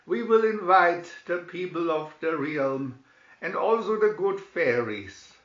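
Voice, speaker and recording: A man's voice: on the high side, lively intonation, slow, fairly bright tone, pitched above the performer's own voice. A man voicing a joyful fairy-tale king in a grand, announcing manner. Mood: proud joy. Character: storybook king, adult male, joyful and proclaiming. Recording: roomy echo, slightly dull sound.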